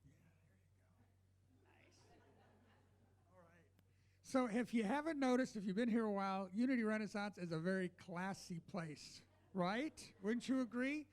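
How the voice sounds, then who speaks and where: A man with a high voice makes announcements at a church service. He is conversational and informal, engaging his listeners directly. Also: moderate pace, long pauses. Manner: conversational, engaging the audience with questions. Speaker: church announcer promoting classes.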